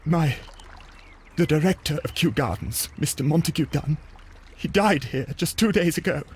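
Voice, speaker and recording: An actor playing an earnest, grieving gardens worker in a video game, speaking politely and hesitantly in a sombre manner. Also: male voice, on the high side, lively intonation, normal speed, darkish tone, a little hiss or hum, natural voice. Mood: grieving, hurt. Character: grieving, earnest son working at botanic gardens.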